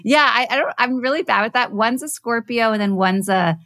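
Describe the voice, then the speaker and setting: A woman's voice: a little high, a little fast, fairly bright tone. A woman hosting an interview, asking easygoing questions in casual conversation. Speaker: host.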